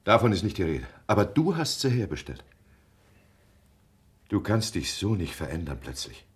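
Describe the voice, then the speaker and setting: An actor in a German radio drama playing an earnest, cornered middle-aged husband, proud and pleading, with an urgent, emphatic delivery. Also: male voice, sits low, big pitch swings, normal speed, husky, full character voice. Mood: urgent, insistent.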